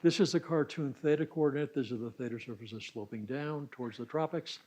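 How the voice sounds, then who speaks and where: Man lecturing, measured and explanatory. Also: medium pitch, a little mellow. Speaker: lecturer at a science summer school. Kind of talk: technical lecture, explaining slides aloud.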